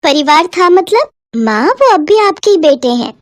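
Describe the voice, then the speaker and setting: A young college girl, put on by a woman storyteller, dutiful but feisty. Her voice is very high and firm, recorded dry. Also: big pitch swings, a little slow, no echo, heavily acted. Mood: soothing.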